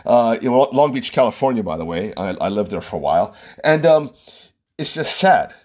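A man's voice: mid-range voice, sing-song, moderate pace, darkish tone. A man from Hawaii giving a loose, conversational opinion monologue, often repeating and restarting his phrases. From Hawaii, USA.

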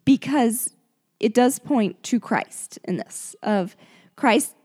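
A woman hosting a book show in casual conversation, her voice hoarse and gravelly and prone to cutting out. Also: on the high side, animated, slow pace, a little mellow. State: hoarse, gravelly voice that comes and goes. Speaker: host of a book discussion show.

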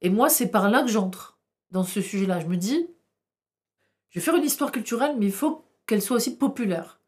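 Woman, middle-aged, historian guest, podcast interview, impassioned, rhetorical, hesitant, mid pitch. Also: lively intonation, a little fast, a little mellow. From France. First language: Arabic.

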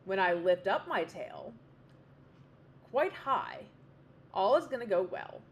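A woman's voice: mid-range voice, sing-song, takes it slowly, dull audio. A woman voicing a sly male fox general who talks in a casual, offhand way. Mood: scheming.

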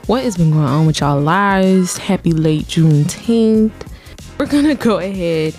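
A young woman hosting her own podcast in casual, playful chat, full of slang, her voice a little deep lately. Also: lively intonation, unhurried, darkish tone, faint music behind. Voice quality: voice sounding a bit deep lately. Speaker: podcast host.

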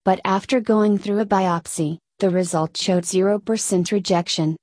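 A woman narrator reading a scripted feature article aloud in a measured way. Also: mid-range voice, steady tone, a little mellow, dry recording.

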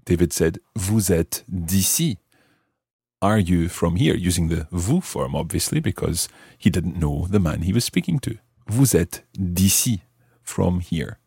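A man hosting a scripted language lesson, explaining clearly and repeating phrases slowly and deliberately. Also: low-pitched, animated, dark tone, dry recording, studio quality. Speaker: language teacher and host.

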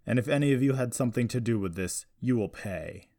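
A man's voice: low voice, expressive, fairly quick, darkish tone, no room sound, studio quality. A man voicing a stern, haughty old grandfather with a curt, demanding manner, in an audiobook story. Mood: threatening.

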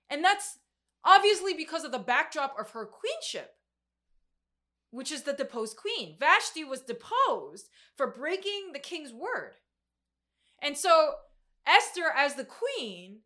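A woman's voice: sits high, sharp tone. A woman in her mid-twenties preaching a sermon in a conversational, informal and emphatic way, with youthful slang. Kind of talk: sermon to a group, conversational Bible exposition.

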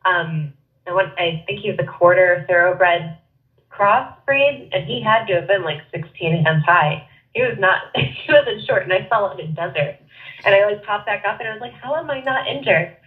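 A woman's voice: medium pitch, expressive, normal speed. A young woman guest with a head cold and a nasal voice, chatting bubbly and laughing easily over a phone call. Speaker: podcast guest, wrestler. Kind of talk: casual chat. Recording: tinny phone line.

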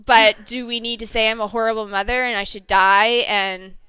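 A woman's voice: on the high side, level tone, moderate pace, sharp tone, poor audio. A woman co-hosting a casual podcast chat about TV shows, slightly nasal, rambling and self-correcting with frequent laughs. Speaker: podcast co-host and TV reviewer.

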